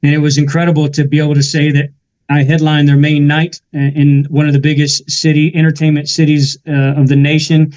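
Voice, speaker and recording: Earnest man, flat steady delivery. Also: fairly high pitch, a little fast, dull audio. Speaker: guest musician and army veteran. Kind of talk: casual interview talk.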